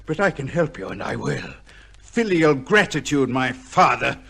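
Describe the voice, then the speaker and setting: An actor playing a cold, ruthless, vengeful son with a theatrical, taunting manner in an old-time radio drama. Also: male voice, mid-range voice, expressive, a little slow, gravelly, a bit bright, husky, heavily acted. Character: bitter, vengeful illegitimate son, cold, ruthless, with heart trouble.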